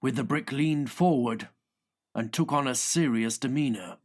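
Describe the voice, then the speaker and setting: A man reads comic fiction for an audiobook. His voice is mid-pitched, and he tells the story with theatrical, deliberate phrasing. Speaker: audiobook narrator. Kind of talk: scripted comic fiction narration.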